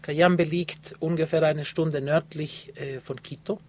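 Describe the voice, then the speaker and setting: A man's voice: a little high, steady tone, a little slow. A man in his fifties from Ecuador, answering interview questions in a hesitant way with many filler sounds. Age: 50s. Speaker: guest, photographer.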